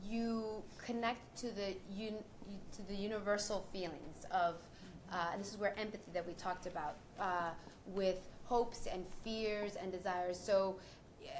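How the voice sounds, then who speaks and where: A woman on a panel, speaking earnestly and reflectively in a mid-range voice. A clear layer of background noise runs under her words throughout. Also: mid-range voice. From New York, United States. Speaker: panelist, actress. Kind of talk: panel conversation.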